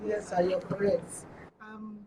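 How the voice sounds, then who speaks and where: A Nigerian woman answering interview questions as an election candidate, assertive but halting and repetitive. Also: medium pitch, little pitch movement, a crowd in the background. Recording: distant mic with clear noise.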